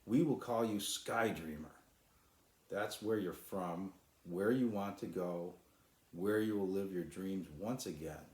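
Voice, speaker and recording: A man's voice: deep voice, slow pace, a little mellow. A man voicing an earnest, hopeful young boy in a children's storybook, with an encouraging manner. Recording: roomy echo.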